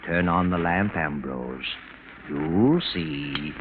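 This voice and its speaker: A man acting as a sinister, haunting, all-knowing narrator of an old radio mystery, deliberate and ominous. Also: extremely low pitch. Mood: sinister urging. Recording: noisy, tinny old radio broadcast recording.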